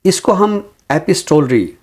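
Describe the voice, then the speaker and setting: A man's voice: high voice, very animated, measured pace, a little mellow. A man with a Pakistani accent speaks as a literary scholar on a talk show, explaining books in formal, learned language.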